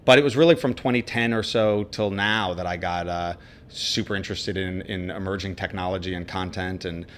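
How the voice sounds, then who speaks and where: Deep-voiced man telling his story. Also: fairly quick, a little hiss or hum. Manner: thoughtful, many restarts. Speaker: guest. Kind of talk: casual interview.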